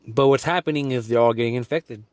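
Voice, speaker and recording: A man's voice: medium pitch, fast, darkish tone. A young man from Guatemala giving an earnest, rambling video update, often restarting his sentences. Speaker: vlogger.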